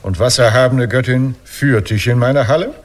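An actor playing a smooth-talking old Greek hero in an ironic radio play, courtly and flattering, hedging as he justifies himself. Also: mid-range voice, moderate pace, close to an everyday voice. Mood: grandly courtly.

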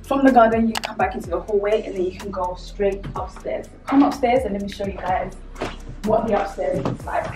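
A woman's voice: mid-range voice, fairly quick. A young woman giving a casual, chatty house tour straight to her viewers, walking through empty rooms. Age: young adult. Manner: chatty, addressing viewers directly. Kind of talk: casual vlog walkthrough. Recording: echoey empty rooms, distant mic, music.

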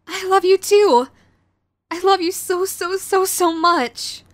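A woman's voice: extremely high pitch, takes it slowly, no echo, studio quality, voice pushed up. A woman playing a sweet, clingy, anxious young yandere girlfriend in a romantic audio roleplay, with a stammering, gushing manner. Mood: lovestruck. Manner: stammering, breathy gushing, apologetic.

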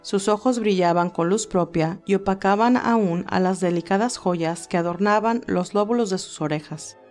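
A woman's voice: medium pitch, normal speed, a little mellow. A woman reading scripted story narration in Spanish, telling a tale. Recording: music behind the voice. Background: classical music.

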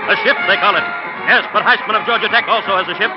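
A man acts a grandiose 1940s radio sports narrator. He declaims urgently in a very high-pitched voice, muffled on an old broadcast with loud music behind. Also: fast talker, close to an everyday voice. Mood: exultant, urgent. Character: grandiose, breathless male sports commentator narrating.

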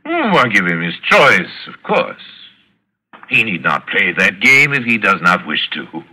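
Sinister aristocratic general, low forceful voice, swooping delivery, suave and gloating, old radio drama. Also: male voice, wildly swooping pitch, unhurried, exaggerated voice. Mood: smug, menacing. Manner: suave, theatrical, gloating, mock-courteous. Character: cultured, sinister aristocratic general who hunts men. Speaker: radio actor. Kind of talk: 1940s radio acting.

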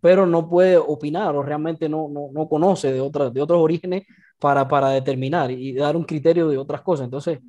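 A man's voice: on the high side, fairly even intonation, a little fast, dark tone, highs cut off. A man in his thirties from Cuba, speaking emphatically on a video call and repeating his points with tags such as "right?". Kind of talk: casual video call chat. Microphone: webcam on a video call, earphones.

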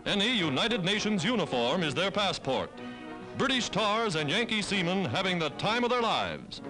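Male American newsreel narrator, 1940s: high, animated, declamatory delivery over loud music on an old soundtrack. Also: normal speed, rough recording. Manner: declamatory, formal.

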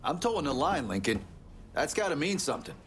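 An actor playing a wheedling middle-aged American mafioso in a game, pushy and slangy. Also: male voice, a little high, very animated, brisk, a bit bright, faint music behind. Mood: placating.

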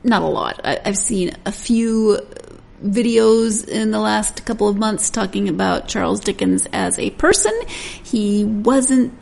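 A woman's voice: mid-range voice, measured pace, creaky, darkish tone. A woman hosting a book podcast in a conversational style. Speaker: podcast host.

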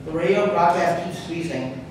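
A man's voice: on the high side, normal speed. A young man reading stage directions aloud as the narrator of a staged play. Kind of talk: scripted narration, read aloud. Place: large public hall, on stage. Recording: echoey hall, distant microphone, clear noise.

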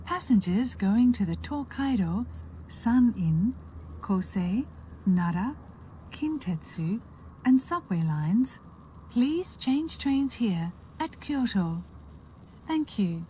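A woman reads a scripted train announcement extremely slowly. Her voice is soft-toned and mellow with lively intonation, over faint background noise. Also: a little high.

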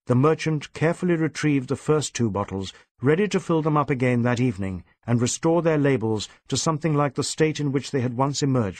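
A man reading a novel aloud as an audiobook narrator, measured and expressive. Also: mid-range voice, no echo. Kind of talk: scripted literary narration.

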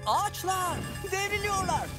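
Small cartoon cat sidekick: high voice, excitable and fussy, expressive, music underneath. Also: female voice, normal speed. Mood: flustered. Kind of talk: animated children's series dub. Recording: music behind.

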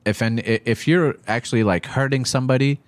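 A man in his thirties who grew up in Iraq, a stand-up comedian guest talking in a halting, blunt, profane way at a studio desk mic. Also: mid-range voice, no room sound. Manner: halting, many restarts and fillers, blunt and profane. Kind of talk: casual podcast chat. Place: small studio with textured walls. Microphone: desk mic on stand, headphones.

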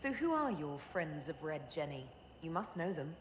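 Low, composed elf heroine. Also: female voice, big pitch swings, in a large hall, slight background noise. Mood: composed, probing. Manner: measured, direct, dry. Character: composed elf woman leader, measured and wry. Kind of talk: video game character acting.

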